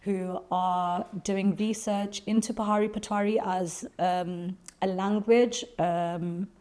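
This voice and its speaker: A woman poet, answering interview questions thoughtfully and pausing often to find her words. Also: mid-range voice, fairly even intonation, warm tone. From Birmingham, England. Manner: thoughtful, searching for words with frequent ums and restarts.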